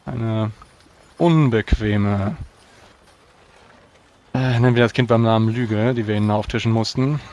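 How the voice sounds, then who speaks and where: A man speaking formally and deliberately as a proud, pious knight in a tabletop role-playing game. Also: lowish voice, a little mellow. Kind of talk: in-character role-play narration. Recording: clear background noise.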